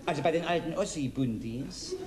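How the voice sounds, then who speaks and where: Guy doing a jittery eager patient, high voice, live crowd around. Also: fairly quick, natural voice. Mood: rambling. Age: middle-aged. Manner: fidgety, jokey, eager to please, ends barking. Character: anxious eager East German patient in hat. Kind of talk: stage cabaret sketch. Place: theater stage before a live audience. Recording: live theater recording with crowd. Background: audience laughter.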